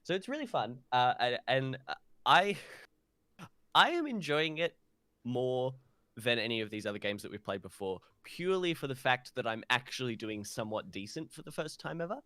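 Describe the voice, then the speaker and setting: A man's voice: medium pitch, sing-song, normal speed, bright, forceful tone, dry recording. A man co-hosting a podcast, casually explaining a video game in hesitant, piecemeal phrases. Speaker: podcast co-host.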